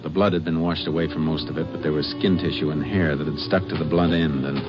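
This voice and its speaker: An actor playing a steady, shrewd Texas Ranger in a 1950s radio crime western, questioning and narrating in a terse, matter-of-fact way. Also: male voice, very deep voice, fast, over music. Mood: grim, factual. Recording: muffled, noisy old 1950s radio recording.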